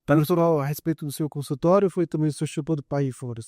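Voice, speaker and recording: A man's voice: on the high side, quick pace, mellow, no echo, clean studio sound. A man from Brazil asking casual questions as a podcast interviewer.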